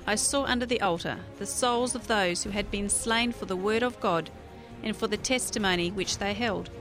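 A woman's voice: mid-range voice, fairly bright tone. Woman announcer reading over music.

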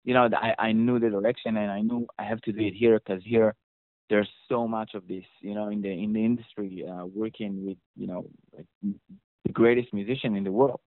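A man's voice: on the low side, steady tone, brisk, no echo. A young man with an Israeli accent, chatting casually as an interview guest about his work as a mixing engineer, rambling with many fillers and restarts. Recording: dry, phone-like audio.

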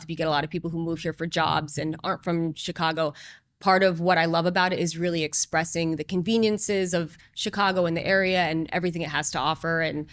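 A woman in her thirties speaks in a slightly low voice. She answers questions in an earnest, reflective way, full of ums and restarts. The sound is dry and close. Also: a bit bright, no echo. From moved from Singapore to Las Vegas as a child. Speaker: real estate agent, guest. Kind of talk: casual interview conversation.

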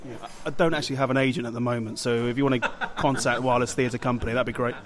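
A man's voice: medium pitch, steady tone, racing. A man from Wales, co-hosting a podcast with casual, jokey theatre banter. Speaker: podcast co-host, actor.

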